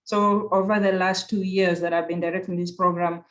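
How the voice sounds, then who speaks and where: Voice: medium pitch, level tone, moderate pace. Kenyan-accented conference speaker, earnest. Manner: earnest, reflective, circles back to rephrase. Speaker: conference speaker, university program director. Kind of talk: virtual conference presentation.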